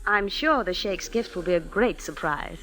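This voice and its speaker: A woman's voice: on the low side, animated, normal speed, a bit bright, poor audio. An actress playing a poised, alluring society woman in old-time radio drama, polite, polished and coy. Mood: poised, inviting. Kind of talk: 1940s-50s radio drama acting.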